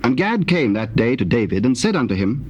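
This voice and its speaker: A man narrating dramatized scripture in a measured, formal manner. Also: medium pitch, big pitch swings, muffled recording. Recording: faintly noisy old cassette tape.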